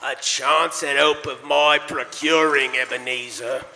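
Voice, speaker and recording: A man's voice: mid-range voice, steady tone, gravelly, sharp tone, exaggerated voice. An actor playing the tormented ghost of a dead businessman, mournful and warning, in a live radio play. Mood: solemn warning. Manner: wailing, slow, drawn-out, grave warnings. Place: live stage in a church. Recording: long church hall reverb.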